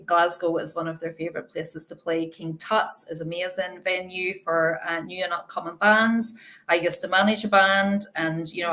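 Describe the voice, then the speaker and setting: A woman's voice: lowish voice, fairly bright tone. A woman with an Irish accent, chatting enthusiastically as a panelist in a live Q&A and laughing at herself. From Ireland.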